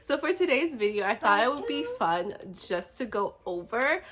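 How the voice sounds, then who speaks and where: A woman's voice: on the high side, big pitch swings, normal speed, a bit bright, a little hiss or hum. A woman book vlogger who is slightly under the weather, chatting casually in an effusive, giggly, rambling way. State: slightly sick.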